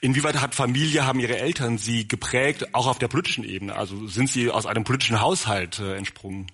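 Guy from the rhineland doing an interview, medium pitch, kinda husky, halting way into questions. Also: a bit bright. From Rhineland, Germany. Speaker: interviewer, moderator. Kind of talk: conversational live interview.

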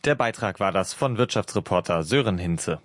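A man presenting a radio news show, introducing reports in a clear, formal announcing manner at a studio microphone. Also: low-pitched, animated, normal speed, no room sound, soft background music.

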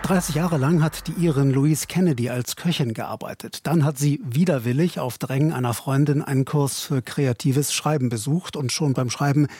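A male news presenter introducing reports in a crisp, formal way. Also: mid-range voice, normal speed, hardly any pauses, darkish tone. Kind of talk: news anchoring.

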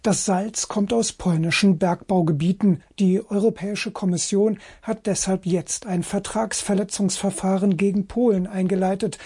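A male radio reporter narrates his feature calmly and evenly. His voice is dark and mellow with a slightly husky edge. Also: high-pitched, normal speed, few pauses. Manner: calm, even reporting. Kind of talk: scripted news report narration.

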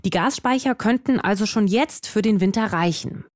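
A woman's voice: mid-range voice, moderate pace, no echo, clean studio sound. A woman reading a scripted news report for radio.

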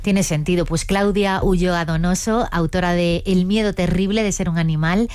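A woman hosts a literary radio interview in a slightly low voice with a dry, studio-close sound. She is articulate and attentive, laying out prepared questions and offering brief affirmations. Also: fairly even intonation, normal speed, a little mellow, clean tone, no room sound.

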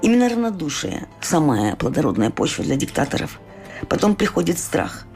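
A woman interviewer speaking earnestly, leaning slowly and heavily on her key phrases. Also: deep voice, big pitch swings, darkish tone, soft background music. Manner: earnest, emphatic, slow and weighty on key phrases. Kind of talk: interview questions and commentary.

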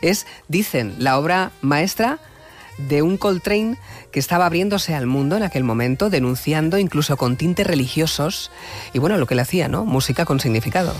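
A man hosting a late-night radio interview, speaking in a measured, reflective way and leading his guest with questions. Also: a little high, animated, soft background music. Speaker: radio host.

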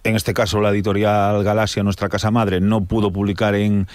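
A man, a publisher explaining things in a measured way, with hesitant restarts. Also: lowish voice, little pitch movement, a little mellow, no room sound. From Galicia. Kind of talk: radio-style interview answers.

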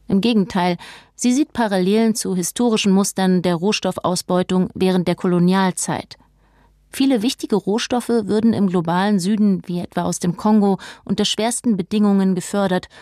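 A woman's voice: medium pitch, mellow, dry recording. The woman's voice you would hear carrying a radio feature from a small, dry narration booth, reading from a script. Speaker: narrator and reporter.

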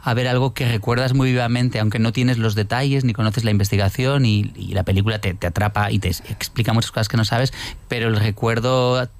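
A man's voice: mid-range voice, fairly even intonation, fairly quick. A man, a film director, talking reflectively in an interview with frequent restarts and tag questions. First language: not Catalan; works in another language. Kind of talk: conversational interview.

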